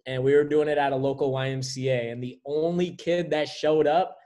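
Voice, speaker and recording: A man's voice: on the high side, a little fast. A man, a basketball skills trainer, talking casually as an interview guest, rambling with many restarts. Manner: rambling, many fillers and restarts, flat delivery. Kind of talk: casual conversation.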